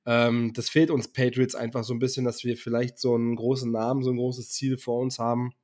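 A young man co-hosts a casual podcast while a bit under the weather, his voice sitting in an ordinary middle range. He speaks hesitantly, hedging his points and filling pauses with ums and ahs. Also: medium pitch, monotone, darkish tone. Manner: hesitant, hedging, many fillers. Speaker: podcast co-host.

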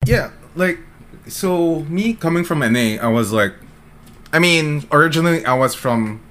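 A man's voice: on the high side, lively intonation, normal speed, fairly bright tone. A man from the Philippines hosting a casual streamer podcast, rambling through questions with many filler words. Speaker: podcast host. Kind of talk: casual chat.

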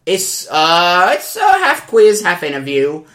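A man's voice: high voice, huge pitch swings, slow, fairly bright tone, exaggerated voice. A man playing a rambling talk-show host. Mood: bumbling. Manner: rambling, hesitant, full of ums. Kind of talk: improv comedy.

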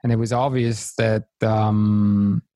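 A man from Switzerland with a Swiss German accent, a non-native English speaker hosting a missionary podcast in a halting, hesitant way. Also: fairly low pitch, level tone, warm tone, no echo. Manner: hesitant, halting phrasing with fillers. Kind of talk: casual podcast conversation.

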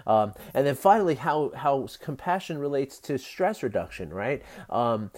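A man hosting his own podcast, talking in a rambling, earnest way with lots of fillers and soft laughs, his voice scratchy and tired. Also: medium pitch, big pitch swings, normal speed. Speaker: podcast host. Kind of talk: casual solo podcast talk.

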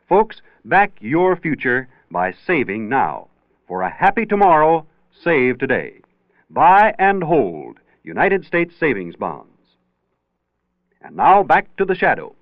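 Animated male announcer, dry vintage radio. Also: on the high side, measured pace, no room sound. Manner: folksy, emphatic salesmanship. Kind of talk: 1940s scripted radio advertisement. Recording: narrow-band old radio recording.

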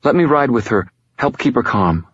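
A man's voice: medium pitch, lively intonation, normal speed, fairly bright tone, husky, voice pushed up. A man voicing a protective, worried young woman in an audiobook, earnest and soothing in manner. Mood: pleading. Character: protective, worried young woman comforting her injured friend. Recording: dull, roomy recording.

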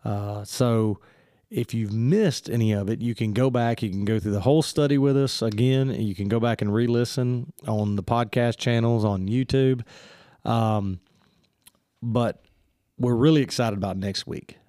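A man's voice: medium pitch, dark tone, no room sound, clean studio sound. A man hosting a church podcast, sounding congested and talking casually with many fillers. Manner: friendly, halting with many fillers. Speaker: podcast host.

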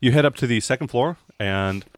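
A man's voice: mid-range voice, fairly quick, no room sound. A man narrating a tabletop role-playing game as game master, casually describing scenes and searching for words as he goes.

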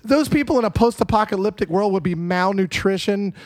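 Man, hoarse, slightly high voice, joking debate, dry close mic. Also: a little fast, no echo. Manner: joking, mock-aggressive. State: losing his voice. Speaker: podcast co-host. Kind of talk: casual debate chat.

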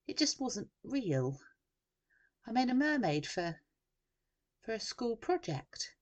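A woman's voice: on the high side, very animated, slow pace, voice pushed up. A woman voicing a nervous, hesitant young schoolboy who stammers in a storybook. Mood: hesitant, sheepish.

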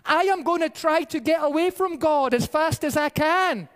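A man's voice: very high-pitched, normal speed, a bit bright. A male pastor preaching a sermon in a conversational, storytelling way with a varied pace. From Peru-born, raised in the UK by Scottish parents. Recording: reverberant hall.

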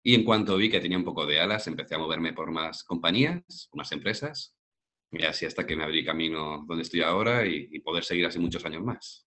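A man's voice: low voice, expressive, quick pace, bright, forceful tone. A man of about forty with a Madrid Castilian accent, a professional voice-over artist answering questions in a conversational interview. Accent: Castilian Spanish from Madrid. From Madrid, Spain. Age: around 40.

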